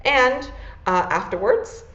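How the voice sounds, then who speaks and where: A woman's voice: a little high, expressive, takes it slowly, fairly bright tone. A woman moderating an online panel, upbeat and leaning on ums and uhs. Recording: roomy, dull lo-fi call audio.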